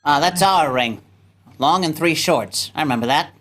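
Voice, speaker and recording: A woman's voice: low-pitched, lively intonation, moderate pace. A woman playing a man, a dutiful, affectionate grown son who commands a navy rocket, in a sci-fi radio play. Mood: guarded, affectionate.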